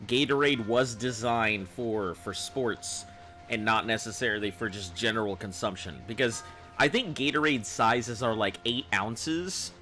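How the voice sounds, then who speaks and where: A man with a baritone voice chatting casually with viewers on a live game stream, in a loose, rambling way. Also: sharp tone. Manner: rambling, loose, chuckling at chat.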